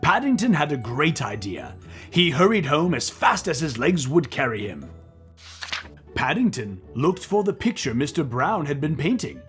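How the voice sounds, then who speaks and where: A man reads a picture book aloud with a lively, swooping delivery. His voice sits a little high, and he is playful and expressive, with soft music behind him. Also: normal speed. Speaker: children's book reader and comedy co-host. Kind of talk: animated read-aloud narration with playful banter.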